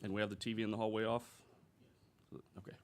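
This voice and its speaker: A man's voice: on the low side, flat delivery, fast, a little hiss or hum. A man chairing a school board meeting, speaking in a plain, procedural way.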